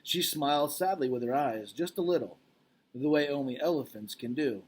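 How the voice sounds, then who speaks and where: A man's voice: medium pitch, moderate pace, slightly rough, poor audio. A man speaking as a teacher, casually recapping and reading a storybook aloud in an informal, explanatory way, checking in with listeners.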